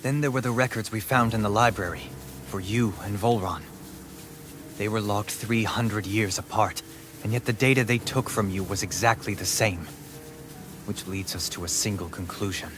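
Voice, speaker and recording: Guy playing brainy serious game hero, lowish voice, measured and formal, music behind. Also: steady tone, natural voice. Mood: grave, analytical. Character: composed, scholarly male adventurer, analytical and grave. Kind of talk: video game voice acting.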